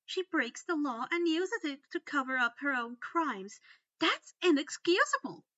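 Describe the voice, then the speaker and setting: A woman's voice: sits high, sing-song, unhurried, bright, forceful tone, dull audio, voice pushed up, heavily acted. A woman voicing a fierce, worried teenage witch girl in a storybook reading, emphatic and indignant.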